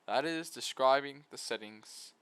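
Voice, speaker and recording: A man's voice: mid-range voice, a little slow, a bit bright, clear, resonant tone, no echo. A man giving a casual video essay review of visual novels, full of flippant, irreverent asides.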